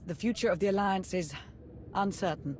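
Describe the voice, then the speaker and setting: A woman's voice: mid-range voice, normal speed, a little mellow, a little hiss or hum, close to an everyday voice. A woman playing a cool, pragmatic spymaster in a video game cutscene, composed and precise. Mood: sober, composed.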